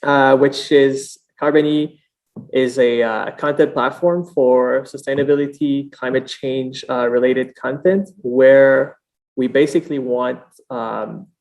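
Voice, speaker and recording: A man from Montreal with a French-Canadian accent, a native French speaker, talking casually and haltingly as an interview guest. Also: medium pitch, fairly even intonation, gravelly, darkish tone, low-quality recording. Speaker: podcast guest, startup founder.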